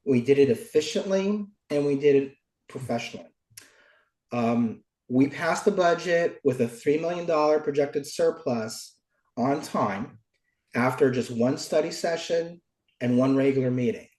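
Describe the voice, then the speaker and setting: A middle-aged American man, stuffy with a cold, giving deliberate, articulate interview answers as a local politician. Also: medium pitch, darkish tone. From Oakland, California, United States. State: stuffy from a cold, cough drop in mouth. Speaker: local politician, former mayor. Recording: roomy, echoing sound.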